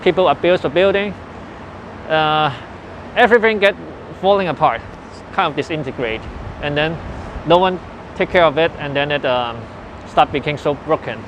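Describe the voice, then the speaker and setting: A man in his forties with a Hong Kong English accent, earnestly recalling his past in an unhurried interview with loose grammar. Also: a little high, lo-fi sound, a little hiss or hum. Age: 40s. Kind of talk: personal reminiscence in interview. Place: old building where his family lived and worked.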